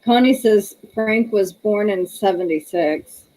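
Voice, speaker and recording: A woman's voice: medium pitch, unhurried, darkish tone, poor audio. A woman, hosting a casual livestream, chatty and laughing often as she reads viewer comments aloud. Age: 30s. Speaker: livestream host.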